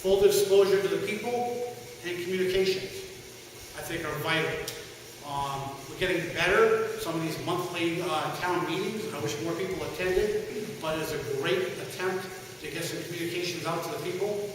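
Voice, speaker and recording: A middle-aged man with a loud, slightly high voice makes his case in plain, earnest words, often pausing on "um" and "uh". He is recorded from far off in a large hall with long reverb and a steady hum behind him. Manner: earnest, plain-spoken, many ums and uhs. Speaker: political candidate. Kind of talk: candidate's introductory speech. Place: large hall at a town meeting.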